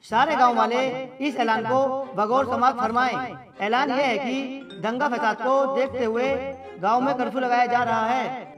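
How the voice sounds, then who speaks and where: A man's voice: extremely high pitch, fairly even intonation, normal speed, pauses often, over music. A man playing a bossy village official in a comedy skit, scolding and declamatory.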